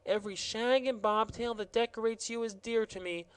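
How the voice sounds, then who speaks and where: A man's voice: steady tone, moderate pace, clean tone, no echo, natural voice. A man reading a storybook aloud and voicing a weepy, fawning royal gardener in a raised, pleading voice. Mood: doting, tearful. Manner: high, pleading and overwrought. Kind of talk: audiobook character voice.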